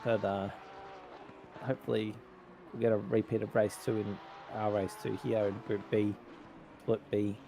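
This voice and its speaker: A man's voice: lowish voice, flat delivery, normal speed, creaky, long pauses, dark tone, a little hiss or hum. A man with an Australian accent giving chatty, rambling colour commentary on a sim race. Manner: chatty, rambling analysis with laughs. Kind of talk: sim racing color commentary.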